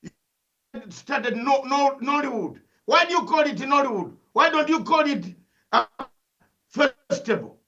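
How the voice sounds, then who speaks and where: An older Ugandan-accented man with a very high, bright, forceful voice, lecturing with fervour and piling up rhetorical questions. He comes through a dull, lo-fi remote link. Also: lively intonation, measured pace. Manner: emphatic, preachy, full of rhetorical questions and repetition. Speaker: analyst guest. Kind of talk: impassioned interview commentary.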